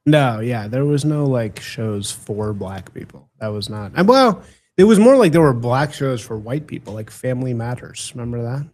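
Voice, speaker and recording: A man in his thirties hosting a podcast, chatting casually with friends, excitable and incredulous, laughing often and swearing freely. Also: medium pitch, normal speed. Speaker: podcast host. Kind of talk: casual banter among friends.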